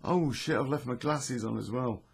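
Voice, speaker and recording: A British man with a hoarse, croaky voice, hosting a podcast in a rambling, self-deprecating, sweary way. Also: mid-range voice, normal speed. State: hoarse from illness. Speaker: podcast host and storyteller. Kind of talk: casual chatty intro to a podcast.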